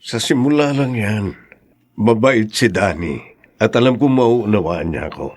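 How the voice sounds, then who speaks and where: Low-voiced patient Filipino father. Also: lively intonation, unhurried. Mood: reassuring. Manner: patient, soothing. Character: calm, reassuring widowed father planning to remarry. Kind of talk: Filipino radio drama acting.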